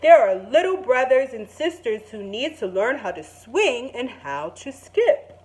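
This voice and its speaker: Proud earnest principal guy from a kids book done by a woman, really slow and emphatic, highish voice. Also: big pitch swings, a little mellow, rough recording. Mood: emphatic announcing. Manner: emphatic, declamatory, stretched-out words. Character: earnest school principal man, proud and emphatic storybook character.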